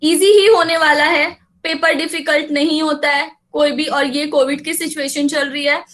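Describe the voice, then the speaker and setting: A woman's voice: high-pitched, flat delivery, very fast, a bit bright. A woman with an Indian English accent teaching a live online class over a video call, reassuring students and switching between English and Hindi. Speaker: exam prep teacher.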